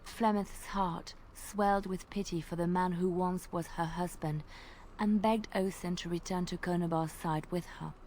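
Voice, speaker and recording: A woman telling an old legend in character during a tabletop roleplay, measured and dramatic, at times dropping to a hush. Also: lowish voice, steady tone, a little hiss or hum, rough recording.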